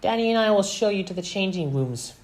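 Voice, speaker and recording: A man puts on a confident young storybook woman with plenty of spirit. The voice sits high above a normal male range and speaks out firmly. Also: animated, a little fast. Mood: upbeat.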